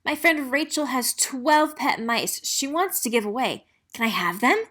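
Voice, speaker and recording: A woman's voice: high voice, animated, unhurried, fairly bright tone, voice pushed up, heavily acted. Woman voicing an eager, earnest young storybook boy. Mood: eager pleading. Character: eager young boy who longs for a pet.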